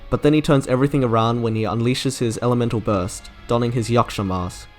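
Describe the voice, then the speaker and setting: A man delivering scripted, enthusiastic review narration in an articulate, wordy way, like a video essayist. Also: medium pitch. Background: music playing under the narration.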